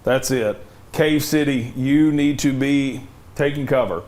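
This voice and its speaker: A man covers a severe weather outbreak live on TV, urging viewers to take cover. His voice is worn and a little hoarse from a long night. He speaks at an ordinary middle pitch, firm and directive. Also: unhurried, a little mellow. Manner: urgent, directive safety warnings. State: losing his voice, cough drop in mouth. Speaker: TV meteorologist.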